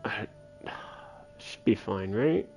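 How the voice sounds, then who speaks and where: A man gives casual solo gameplay commentary in a dark, relaxed voice with noticeable fry. He muses aloud as if to himself, while game music plays faintly behind him. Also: fairly low pitch, takes it slowly. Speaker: video game livestreamer. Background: faint video game music.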